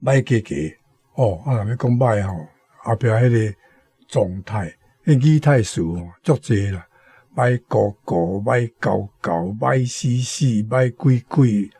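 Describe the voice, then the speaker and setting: Dark, husky Taiwanese man explaining. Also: lowish voice, animated, measured pace, no room sound. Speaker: guest commentator on language. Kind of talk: explanatory commentary.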